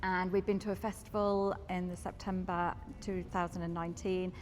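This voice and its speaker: A woman's voice: on the low side, flat delivery, normal speed, faint music behind. A woman in her fifties, a gym member talking in an interview, chatty and enthusiastic. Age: 50s. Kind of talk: casual interview chat. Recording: reverberant room, faint noise.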